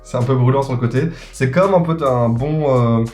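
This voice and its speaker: A man's voice: mid-range voice, normal speed, a little mellow, soft background music. A man co-hosting a do-it-yourself video, clowning around and teasing in casual banter. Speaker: co-host. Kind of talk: joking banter.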